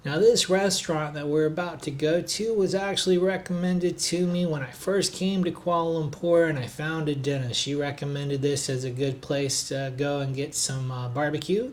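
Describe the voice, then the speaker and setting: A man narrating a hotel and city tour for his travel vlog in a relaxed, chatty way, with frequent "uh" and "um" fillers. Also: a little high. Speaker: travel vlogger, hotel reviewer. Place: recorded on the road in a noisy city.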